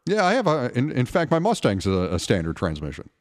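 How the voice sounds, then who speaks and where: A man's voice: mid-range voice, wildly swooping pitch, brisk. A man hosting a radio talk show, bantering casually about sports in a conversational, teasing way. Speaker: talk radio host filling in.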